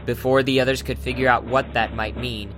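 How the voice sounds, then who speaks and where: A man's voice: mid-range voice, steady tone, fairly quick. A man giving scripted, dramatic narration for an audiobook adventure. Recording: music under the narration.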